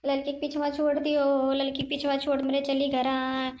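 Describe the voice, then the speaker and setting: A woman's voice: high voice, completely flat delivery, moderate pace, a little mellow, slight background noise, natural voice. A woman voicing a frightened plump village woman in a cartoon comedy, pleading and wailing with an Awadhi accent.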